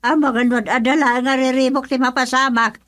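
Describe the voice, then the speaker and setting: A woman's voice: high voice, fairly even intonation, moderate pace, fairly bright tone. A woman playing a worried, fretful mother in a radio drama, with a Filipino accent. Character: worried, fretful mother fearing trouble for her son. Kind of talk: Ilocano radio drama acting.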